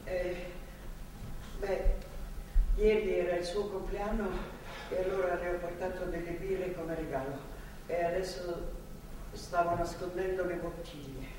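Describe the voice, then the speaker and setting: An actress playing a meek, devout older woman who tends a captive, hesitant and gentle in her stage delivery. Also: lowish voice, fairly even intonation, a little slow, pauses often, lightly acted. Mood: sheepish, nervous. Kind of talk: live stage drama acting. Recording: distant, noisy, echoey theatre hall recording.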